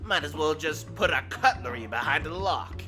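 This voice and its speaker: An actor playing a gruff, bitter, foul-mouthed older mechanic in a game dub, grumbling and sarcastic. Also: male voice, on the high side, lively intonation, normal speed, over music, natural voice. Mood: irritated, grumbling. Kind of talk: game fandub voice acting.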